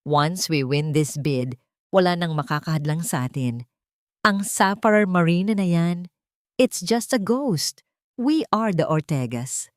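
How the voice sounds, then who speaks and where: A haughty young fiancée from an audio melodrama, played by a woman narrator. She pushes and gaslights in a shrill, sugary way, very close and dry. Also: animated, a little slow, no echo. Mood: falsely sweet. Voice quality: shrill, falsely sweet. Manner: gaslighting, insistent.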